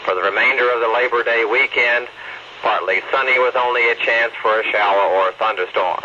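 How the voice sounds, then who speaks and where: A man's voice: mid-range voice, brisk. A man reading a weather forecast as a radio announcer, in a formal, clipped manner. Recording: dull noisy radio broadcast recording.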